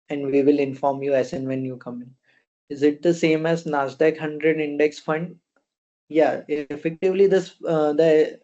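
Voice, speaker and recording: Indian-accented man, flat and halting. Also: a little high. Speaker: fund company officer answering investor questions. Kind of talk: unscripted explanation on a conference call. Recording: dull, lo-fi conference call audio.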